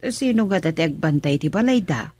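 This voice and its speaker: A woman's voice: lowish voice, animated, a little fast, darkish tone, slightly rough, no echo. An actress playing an older Ilocano mother in a radio drama, fussy and full of questions.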